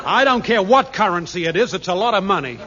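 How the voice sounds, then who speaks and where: A man's voice: high-pitched, expressive, fast. A man acting a put-upon, simple-minded lodger and pal who objects plaintively and hesitantly, in 1950s radio sitcom style. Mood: worried. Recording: dull, faintly noisy 1950s radio recording.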